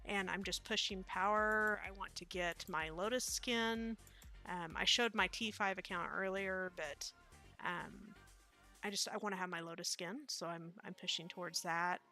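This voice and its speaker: A woman's voice: on the low side, fairly even intonation, moderate pace, sharp tone, soft background music. A woman with a Midwestern accent, chatting casually and rambling with many fillers while streaming a game. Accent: Midwestern American. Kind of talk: casual live-stream commentary.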